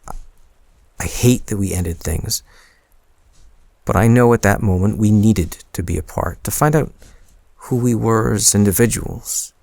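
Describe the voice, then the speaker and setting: A man acts out a smitten young man, bashful and hesitant, in an intimate boyfriend audio drama. His voice is low and recorded dry and close. He takes his time, stammering softly as he works toward confessing to his crush. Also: a little slow, gravelly, long pauses, no room sound. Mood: tender, earnest.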